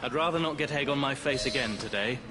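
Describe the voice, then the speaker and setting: An English actor playing a grave, weary Victorian police inspector in a video game, speaking formally and deliberately. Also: male voice, mid-range voice, lightly acted. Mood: weary, bitter. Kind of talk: video game drama acting. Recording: loud game music and street sounds behind.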